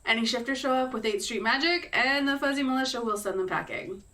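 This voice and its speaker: An actress playing a blunt, sardonic fighter who is impatient to get to the violence. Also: female voice, on the high side, a little fast, bright, forceful tone, distant mic. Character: blunt, fight-hungry woman fighter with guns and magic. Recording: a little off-mic.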